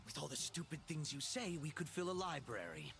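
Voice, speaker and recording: An actor playing an arrogant, power-hungry young would-be emperor in a video game, cocky, sarcastic and imperious. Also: male voice, fairly high pitch, sing-song, brisk, gravelly. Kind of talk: video game character voice lines. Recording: game music behind voice.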